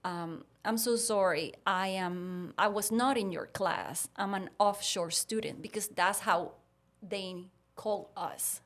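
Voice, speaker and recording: A woman's voice: medium pitch, slow. Puerto Rican woman telling stories conversationally. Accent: Puerto Rican Spanish. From Puerto Rico. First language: Spanish. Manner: animated retelling with acted-out dialogue. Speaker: podcast guest, veterinarian. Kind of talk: conversational storytelling.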